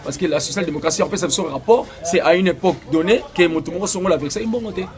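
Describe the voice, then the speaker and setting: A man's voice: high-pitched, fast talker, over music. A man with a Congolese French accent arguing insistently in a political debate, hesitating often, then pushing hard on his point. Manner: insistent, argumentative, with many hesitations. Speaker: interviewee.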